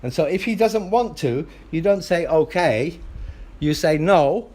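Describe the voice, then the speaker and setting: A father on a phone call, arguing in a loud, insistent, lecturing way with the phone held close to his mouth. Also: fairly high pitch, animated, measured pace. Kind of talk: heated phone argument.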